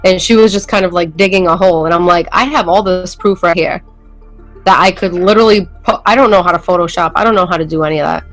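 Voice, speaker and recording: A woman with a New England accent tells her side of things as a podcast guest, her low-set voice looping through fillers and half-finished restarts. She sounds casual and unscripted, and faint music plays quietly behind her. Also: fast talker, rough recording. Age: adult with school-age kids. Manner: rambling, heavy on fillers and restarts. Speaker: interview guest. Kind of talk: casual storytelling in a podcast interview.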